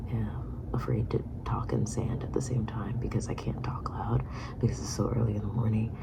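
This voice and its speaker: A woman in her thirties whispering a personal vlog, halting and reflective. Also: extremely low pitch, creaky, poor audio. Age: 30s. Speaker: vlogger.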